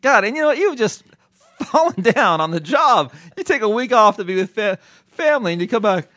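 A man's voice: high-pitched, fairly quick, no echo. A man hosting a podcast, bantering casually and laughing often. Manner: laughs often, jokey and animated. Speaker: podcast host.

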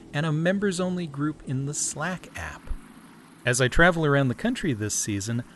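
A man's voice: medium pitch, slight background noise. A man hosting a podcast, reading a cheerful membership appeal. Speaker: podcast host.

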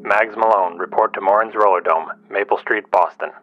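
A man's voice: fairly low pitch, moderate pace, lightly acted. Man acting dull-sounding paging announcer over music. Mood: official. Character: matter-of-fact male paging announcer. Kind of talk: public address page in radio drama.

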